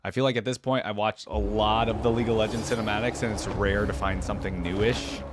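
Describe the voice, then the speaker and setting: A man with a fairly low voice gives casual reaction commentary. He is informal and upbeat, talking through what he watches in an appreciative way. Music plays clearly behind him. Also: steady tone, quick pace. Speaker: reaction video host.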